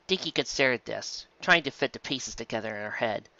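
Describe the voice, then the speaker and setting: A man narrates a story from a script with plenty of movement in his pitch. His voice sits in a middle register, and the recording is dull and lo-fi. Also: expressive, fairly quick, sharp tone, no room sound. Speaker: narrator, reader.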